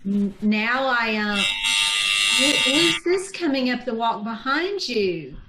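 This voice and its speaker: A woman's voice: fairly high pitch, very animated, takes it slowly. A woman playing a gullible, easily smitten schoolteacher in an old-time radio comedy, stammering and flustered, with dry wisecracks. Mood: comic, distracted. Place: video call reading from a room. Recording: echoey room, clicks, background noise.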